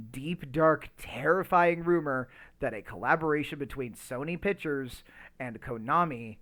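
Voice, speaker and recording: A man's voice: mid-range voice, fairly bright tone. A man co-hosting a podcast in a casual discussion, talking in a rambling, hesitant way with lots of filler words. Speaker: podcast co-host.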